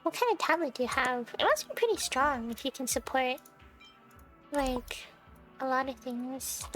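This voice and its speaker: A woman with a high-pitched voice chats casually on a game livestream. She thinks aloud and often puts questions to her viewers. Game music plays clearly behind her. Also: normal speed, fairly bright tone. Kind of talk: casual chat while playing a game for viewers. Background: video game music.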